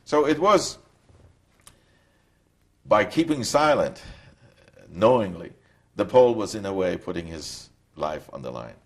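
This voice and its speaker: Older man, very deep voice. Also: sing-song. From Poland. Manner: reflective, halting storytelling. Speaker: interviewee. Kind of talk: recorded testimony.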